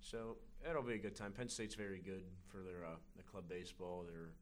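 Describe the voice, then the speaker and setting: A young man with a very low voice chats easily as a guest, laughing often and restarting his sentences. A faint hiss sits under his voice. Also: brisk. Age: young adult. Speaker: guest, college club baseball player. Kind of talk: casual interview chat.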